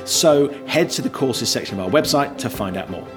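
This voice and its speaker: A man's voice: medium pitch, lively intonation, moderate pace. A man hosting a podcast, reading scripted announcements steadily with upbeat lifts on new items. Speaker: podcast host. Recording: guitar music playing underneath.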